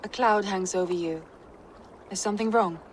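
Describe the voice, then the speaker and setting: A woman's voice: medium pitch, normal speed, a little hiss or hum, close to an everyday voice, poor audio. An actress voicing a composed, formal female advisor in a video game cutscene. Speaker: game character.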